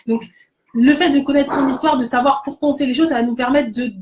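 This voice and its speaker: A woman's voice: high voice, fairly even intonation, fast, background noise. A woman hosting a casual conversation, agreeing enthusiastically and explaining with many fillers. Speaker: host.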